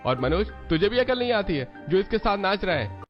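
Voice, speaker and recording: A man's voice: high voice, sing-song, fairly quick. A man playing a stern young husband in a cartoon, scolding angrily. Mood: angry, scolding. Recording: dull lo-fi sound under clear music.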